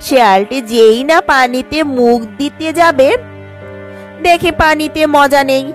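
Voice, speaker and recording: A woman's voice: high voice, unhurried, soft background music. A woman narrator reading a scripted animal fable for a cartoon.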